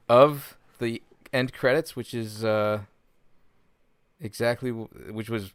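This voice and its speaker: A man's voice: mid-range voice, steady tone, takes it slowly, clear, resonant tone, no echo. A man co-hosting a podcast, talking casually and informally as he chats and presents items. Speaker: podcast co-host. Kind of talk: casual chat and gaming news segment.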